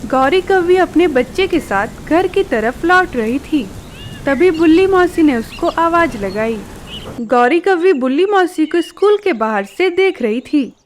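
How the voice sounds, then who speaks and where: High-pitched woman narrating a children's story. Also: lively intonation, unhurried.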